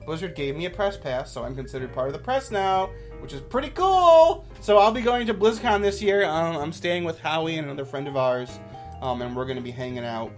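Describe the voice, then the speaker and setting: A man's voice: a little high, expressive, brisk, soft background music. A man giving casual, off-the-cuff commentary as a YouTuber, with an enthusiastic, rambling manner.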